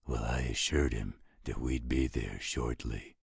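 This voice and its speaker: A man's voice: a bass voice, steady tone, a little slow, creaky, husky, no room sound, studio quality, exaggerated voice. A man playing an easygoing, coaxing partner in a scripted roleplay audio drama, with a patient drawl. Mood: concerned. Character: easygoing male partner soothing his mate, potato-loving.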